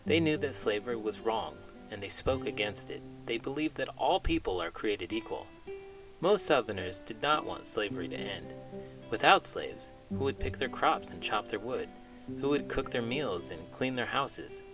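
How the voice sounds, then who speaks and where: A man, a teacher, reading a children's book aloud in an expressive storytelling manner. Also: mid-range voice, normal speed. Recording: music playing behind.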